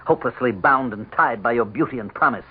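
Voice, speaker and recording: An actor playing the Devil posing as a suave political aide in a radio mystery drama, taunting and insinuating with cool control. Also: male voice, medium pitch, fast, husky. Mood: mocking. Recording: muffled lo-fi vintage radio broadcast.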